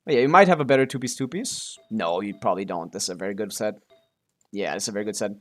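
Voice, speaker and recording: A man's voice: lowish voice, fast talker. A man giving casual live gameplay commentary as a streamer, offhand and sweary, full of gamer slang. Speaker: game streamer.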